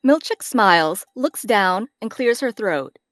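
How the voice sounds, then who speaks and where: A woman's voice: medium pitch, animated, a little slow, dry recording, clean studio sound. A woman reading stage directions as scripted narration for a drama.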